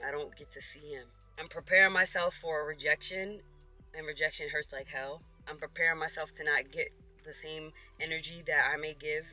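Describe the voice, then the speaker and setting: A woman's voice: deep voice, bright, forceful tone. A woman speaking earnestly and vulnerably in an emotional, tearful personal confession to viewers. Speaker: personal vlogger.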